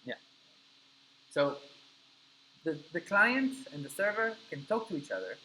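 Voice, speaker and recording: A man's voice: fairly high pitch, very animated, measured pace, pushed and bright, a little hiss or hum, poor audio. A man whose first language is Spanish teaches a live coding class, explaining step by step and checking in with his students. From Argentina. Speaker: coding instructor.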